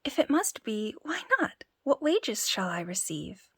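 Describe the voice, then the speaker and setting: A woman's voice: medium pitch, sing-song, unhurried, husky, no room sound, studio quality, exaggerated voice. A woman voicing a small, boastful male tailor with an eager, bragging voice.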